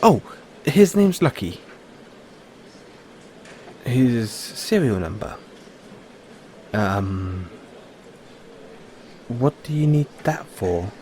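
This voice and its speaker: Male actor as anxious, kindly British android owner turned sleuth; slow, stammering delivery, faint noise behind. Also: mid-range voice, big pitch swings, darkish tone. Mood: nervously polite. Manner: hesitant, halting, stammering. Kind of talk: audio roleplay acting.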